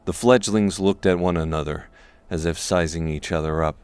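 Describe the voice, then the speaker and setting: A man reading scripted dark fantasy narration as an audiobook narrator. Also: sits low, steady tone, normal speed, clear, resonant tone, no room sound.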